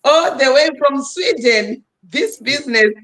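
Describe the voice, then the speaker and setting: A woman's voice: sits high, expressive, a little slow, a bit bright. A woman from Zimbabwe hosting a live stream panel, speaking emphatically and urging the listeners on. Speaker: livestream host. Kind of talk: casual live stream talk.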